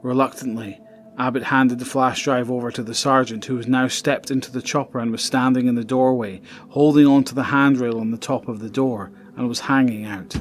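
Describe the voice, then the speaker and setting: Man narrating a dark audio drama. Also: medium pitch, steady tone, brisk, slight background noise.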